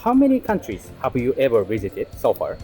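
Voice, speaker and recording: A man with a Japanese accent conducts a casual street interview. His voice swings widely in pitch and has a soft, mellow edge, with faint music underneath. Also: huge pitch swings, fairly quick, crowd noise. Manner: asks simple questions with frequent acknowledging murmurs. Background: background music.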